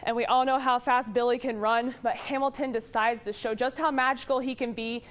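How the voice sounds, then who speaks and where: A woman television sports reporter delivering scripted highlights and team news. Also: steady tone, brisk.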